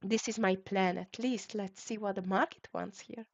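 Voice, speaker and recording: Hungarian-accented woman calling trades, gravelly. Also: mid-range voice, steady tone, moderate pace. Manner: clipped ticker callouts with fillers. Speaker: live trading stream host. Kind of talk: live market commentary. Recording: dull lo-fi stream audio.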